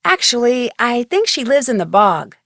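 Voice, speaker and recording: A woman's voice: on the high side, very animated, normal speed, fairly bright tone, no echo, clean studio sound. A woman playing a polite, tentative young female amateur detective in a video game, speaking in an everyday voice.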